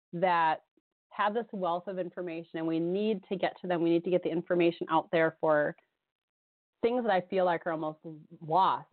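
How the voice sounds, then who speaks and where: A woman co-hosting a radio talk show, hoarse with a cold, chatting casually and rambling, often laughing. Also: fairly low pitch, no room sound. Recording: tinny phone-line sound.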